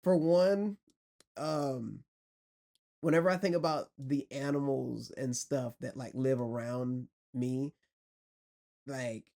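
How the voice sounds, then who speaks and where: A young man hosting a podcast, asking questions in a relaxed, friendly, slangy way. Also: medium pitch, slow pace, vocal fry, long pauses. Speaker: podcast host. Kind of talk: casual interview chat.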